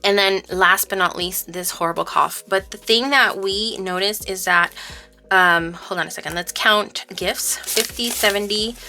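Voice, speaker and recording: A woman vlogging about budgeting in casual, informal chat, speaking in a halting, stop-and-start way. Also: mid-range voice, bright, forceful tone, soft background music. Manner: informal, halting storytelling interleaved with counting. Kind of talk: casual chat while counting cash aloud.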